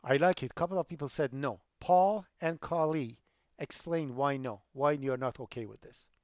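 A middle-aged man, a college programming instructor lecturing online over a headset, emphatic and rising loud when he makes a point. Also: medium pitch, normal speed, dry recording. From Iran. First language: Persian.

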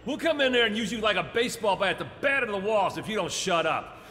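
A man's voice: high voice, lively intonation, quick pace, over music. An actor playing a menacing, brutal male jail guard in an audio drama, barking and threatening. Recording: noisy echoing hall.

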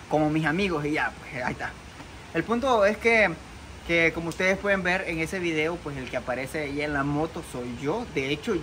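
A young man, a content creator, talking to the camera outdoors beside a muddy rural road, earnest and defensive, with many fillers and restarts. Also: on the high side. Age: young adult. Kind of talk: vlog to camera. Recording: outdoor noise behind. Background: outdoor ambience.

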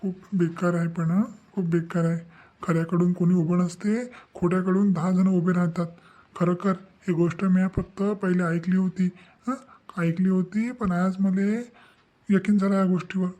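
A man's voice: high voice, little pitch movement, moderate pace, mellow, lightly acted. A man voicing a disheartened, exasperated young family man in a cartoon, fretting and repeating himself as he rambles. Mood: dejected. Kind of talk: cartoon comedy-drama dialogue.

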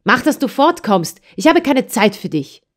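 A grim, short-tempered farm wife in a storybook who snaps and drives a child away, as a woman reading aloud puts her on. She scolds sharply and a little louder than the narrator, and her voice sits somewhat higher than the reader's own. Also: very animated, moderate pace. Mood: scolding. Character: angry middle-aged farmer's wife, harsh and grim.

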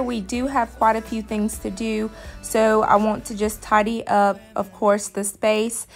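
A woman vlogger giving a casual, conversational voice-over. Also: medium pitch. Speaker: vlogger, host of a cleaning channel. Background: music.

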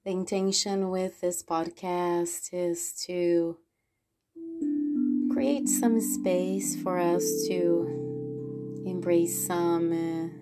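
A woman hosting a guided relaxation practice, speaking in a gentle, unhurried way with long pauses. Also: fairly low pitch, level tone. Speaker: podcast host and guided relaxation teacher. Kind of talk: guided relaxation, slow reflective narration. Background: soft plucked guitar music.

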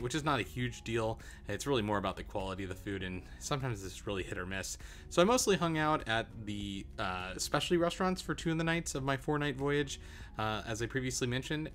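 A young man in a relaxed, chatty travel review, talking casually with frequent "uh" and "um" fillers. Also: fairly low pitch. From Arizona, USA. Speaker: travel vlogger.

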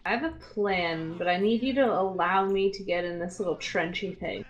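A woman's voice: fairly low pitch, moderate pace, dull audio. A young woman talking casually as a co-player in a game, with a playful, pleading, teasing manner. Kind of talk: casual gameplay chat. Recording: faint game gunfire behind. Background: gunfire from a war game.

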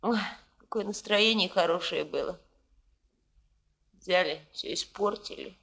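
A woman's voice: lowish voice, a little slow, creaky, fairly bright tone. A woman playing a hurt, jealous young bride in a 1960s Soviet radio play, with emotional, colloquial outbursts. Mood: subdued, rueful.

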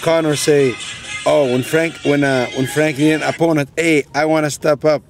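A man who is not a native English speaker telling an animated story in broken English inside a car. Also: fairly high pitch, normal speed, music in the background.

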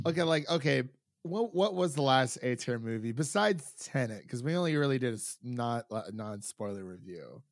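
Male podcast host, American, casual film-review chat, mid-pitched, dry recording. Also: expressive, normal speed, no echo.

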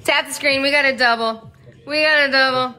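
A middle-aged woman hosting a phone livestream from a small indoor room, upbeat and quickly thanking viewers by name. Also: high voice, steady tone, sharp tone, soft background music. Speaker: livestream host. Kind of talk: casual livestream chat. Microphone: phone selfie camera.

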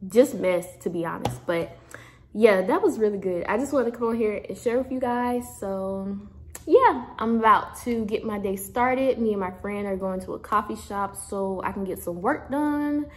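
A woman's voice: medium pitch, normal speed. A young woman vlogging at home, reading a devotional aloud and chatting casually into a phone held close. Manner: chatty, upbeat, warm-hearted sign-offs. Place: indoors at home, small room. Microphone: phone camera held close.

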